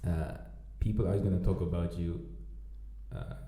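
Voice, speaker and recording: A man's voice: deep voice, steady tone, moderate pace, warm tone. A man from Namibia hosting a podcast in laid-back casual conversation, laughing often and swearing now and then. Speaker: podcast host. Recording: echoey room with faint ambience.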